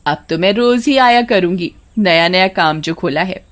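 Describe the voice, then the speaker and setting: A woman's voice: fairly low pitch, moderate pace, close to an everyday voice. A woman voicing a charming, teasing young female street-snack seller in a cartoon story, coy and polite. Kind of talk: cartoon horror-story acting.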